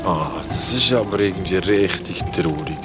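A man's voice: low voice, moderate pace, natural voice. A man playing a sympathetic, easily alarmed young friend with a Swiss German accent in an audio horror drama. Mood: awkward, sympathetic. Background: loud music.

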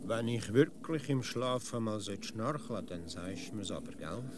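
A man's voice: lowish voice, animated, moderate pace, noisy background, natural voice. A man with a Swiss German accent playing a grumpy, nitpicking, huffy village philosopher in a comic radio play. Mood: grumbling, subdued. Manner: pedantic, insistent questioning.

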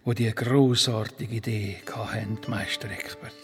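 An actor playing a crafty, flattering pilgrim monk with a Swiss German accent, persuasive and grandiose, in an audio drama. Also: male voice, fairly low pitch, unhurried, long reverb tail, soft background music.

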